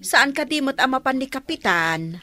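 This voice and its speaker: High-pitched nosy Ilocano village neighbour. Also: female voice, expressive, normal speed, sharp tone. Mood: nosy, chatty. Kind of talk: Ilocano radio comedy drama acting.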